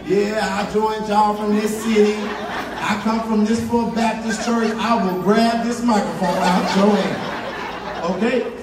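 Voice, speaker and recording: A man's voice: sits high, noisy background. Joking man hosting a crowd. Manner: teasing, joking, bossy with the crowd. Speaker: meeting host. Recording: echoey hall from far off with crowd.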